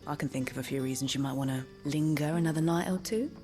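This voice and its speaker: A woman's voice: low-pitched, fast talker, over music, close to an everyday voice. An actress playing a flirtatious, inviting woman in a video game, with a suggestive way of talking. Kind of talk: video game drama acting.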